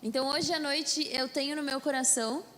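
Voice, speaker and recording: A woman's voice: high voice, fairly even intonation, fairly quick, a bit bright, slight background noise. A Brazilian woman interpreting a sermon live, echoing each phrase right after the preacher. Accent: Brazilian Portuguese. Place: church hall. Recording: reverberant hall with faint music.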